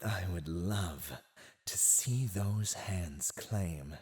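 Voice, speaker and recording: A man's voice: low-pitched, very slow, a little mellow. A man playing a silver-tongued villain in an ASMR roleplay, condescending, theatrical and seductively persuasive as he teases a captive. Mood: smug, tempting. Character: silver-tongued, teasing male villain gloating over a captive. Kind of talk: scripted ASMR roleplay acting.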